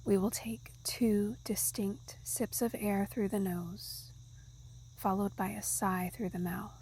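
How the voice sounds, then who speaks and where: A woman guiding a meditation in a soft, soothing, breathy, half-whispered voice. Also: medium pitch, level tone, slow pace, a little hiss or hum, rough recording. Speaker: yoga nidra guide. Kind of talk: guided meditation narration.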